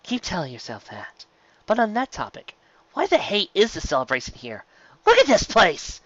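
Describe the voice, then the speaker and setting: A brash pony mare of the royal guard, voiced by a man, speaks in a raised, bright pitch. She is excitable and mocking, a loose cannon in a storybook tale. The sound is slightly lo-fi. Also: moderate pace, no room sound, heavily acted.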